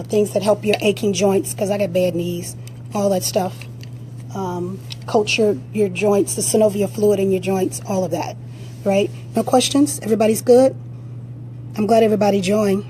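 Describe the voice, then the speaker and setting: A woman fitness coach with a raspy voice, giving blunt, direct motivational advice during a live-stream Q&A on a phone. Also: mid-range voice, mellow, a little hiss or hum, low-quality recording.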